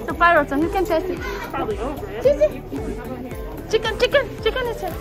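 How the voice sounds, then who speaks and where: High, rapid-talking woman vlogging outdoors. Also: lively intonation. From Manipur, India. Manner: chatty, coaxing and playful with children. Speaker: vlogger and mother. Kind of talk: casual family vlog narration. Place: outdoor farm pumpkin patch. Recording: busy outdoor crowd with loud music.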